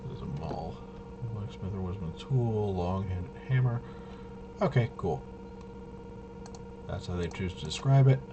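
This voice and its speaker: A man casually talking himself through a tabletop game walkthrough, halting and unscripted, muttering as he thinks. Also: sits low, dull audio, heavy background noise. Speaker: host of a tabletop roleplaying video.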